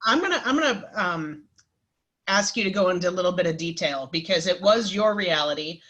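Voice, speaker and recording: A woman's voice: on the low side, lively intonation, fairly quick, a bit bright, dull audio. Chatty woman host calling guests "hun". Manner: chatty and encouraging, calls the guest "hun", many fillers and restarts. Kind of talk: casual live interview.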